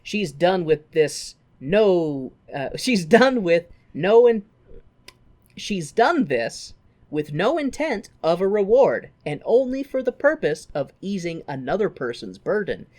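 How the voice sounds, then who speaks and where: A woman's voice: lowish voice, big pitch swings, slow pace, darkish tone. A woman co-hosting a podcast, recapping a story in a casual, humorous and theatrical way. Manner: theatrical, playful. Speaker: podcast co-host.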